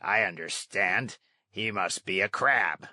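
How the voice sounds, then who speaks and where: A man's voice: low-pitched, slow pace, sharp tone, no room sound, clean studio sound, full character voice. A man voicing an ugly, greedy green fisherman monster in a children's storybook, savoring his words with pompous courtesy. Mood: puzzled, greedy. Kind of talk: children's storybook read-aloud.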